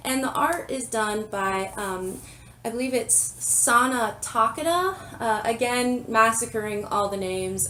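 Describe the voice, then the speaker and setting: A woman's voice: on the high side, slight background noise. A woman host with a stuffy head cold, chatting casually through a comic review, full of ums and apologetic asides. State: congested from a cold, sniffling. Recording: roomy, slightly distant sound.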